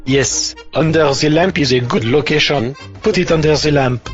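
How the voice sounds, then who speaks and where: Guy doing bumbling police puppet, highish voice, stilted and literal, muffled lo-fi audio. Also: normal speed, faint music behind, natural voice. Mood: bossy, slow-witted. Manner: stilted, officious, literal-minded. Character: bumbling, pompous French police officer puppet. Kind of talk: comic puppet show acting.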